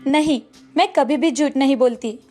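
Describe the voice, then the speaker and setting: A woman voicing a stubborn, curt young rich man's daughter in an animated moral story. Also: sits high, normal speed, faint music behind, close to an everyday voice. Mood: dismissive.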